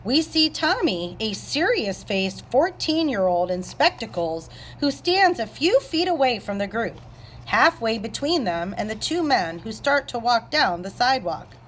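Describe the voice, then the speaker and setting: A woman's voice: on the high side, big pitch swings, unhurried, a bit bright, a little hiss or hum, poor audio. A woman narrator reading scripted stage directions aloud.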